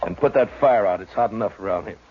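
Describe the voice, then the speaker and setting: Actor as deep-voiced stoic frontier marshal. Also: male voice, fast, close to an everyday voice. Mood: gentle, weary. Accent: American. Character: stoic U.S. Marshal of the Old West, firm but gentle. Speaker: lead character and narrator. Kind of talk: 1950s radio western acting. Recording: muffled, noisy old radio recording.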